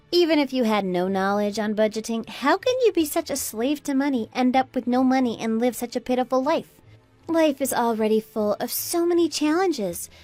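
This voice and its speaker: A woman's voice: a little high, no room sound, lightly acted. A woman playing a fed-up, sarcastic daughter-in-law in a melodramatic radio drama. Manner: sarcastic, taunting. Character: scornful, fed-up adult daughter-in-law, Anna, defiant and mocking. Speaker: voice actor in an online radio drama.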